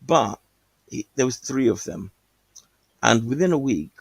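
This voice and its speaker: Gravelly-voiced man rambling on a call. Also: medium pitch, a little mellow, no echo, low-quality recording. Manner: rambling, hesitant, with many fillers and restarts. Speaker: caller. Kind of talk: casual call-in chat.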